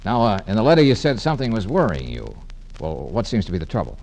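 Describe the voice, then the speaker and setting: A wry, deep-voiced private eye. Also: male voice, huge pitch swings, quick pace, a little mellow, a little hiss or hum. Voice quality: dry and wisecracking. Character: tough, wry male private detective. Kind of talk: 1940s radio acting.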